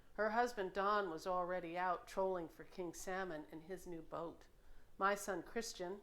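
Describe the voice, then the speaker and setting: A woman's voice: medium pitch, a bit bright. A middle-aged woman with an American accent reads her own personal memoir as an author, in a reflective, informal storytelling manner, recorded in a home kitchen. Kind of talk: personal memoir audiobook narration.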